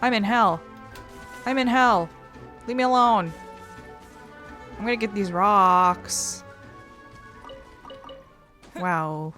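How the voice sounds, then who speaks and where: A woman's voice: mid-range voice, extremely slow, long pauses, sharp tone. Female gaming host, mid-range voice, casual and rambling, faint music underneath. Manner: rambling, thinking aloud. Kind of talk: casual let's play commentary.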